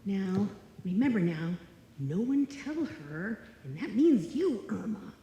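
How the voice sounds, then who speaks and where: A woman's voice: medium pitch, big pitch swings, slow, warm tone, slight background noise. A woman playing a sensible but rattled and exasperated roommate in a live old-time radio comedy. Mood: exasperated, bossy. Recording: echoey hall, live stage performance.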